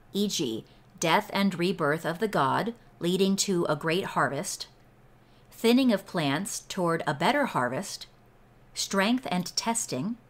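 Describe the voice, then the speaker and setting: Woman reader, lower register, unhurried measured delivery for scripted read-aloud. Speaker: read-along host and reader. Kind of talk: slow scripted read-aloud of a ritual book.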